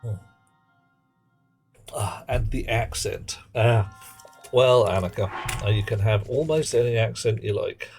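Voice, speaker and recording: A low-voiced man streams a game live and chats with his viewers in a dry, wry way. He mutters little asides as he plays, and game music runs clearly underneath. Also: unhurried, pauses often, a little mellow. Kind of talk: live gameplay commentary chatting with viewers.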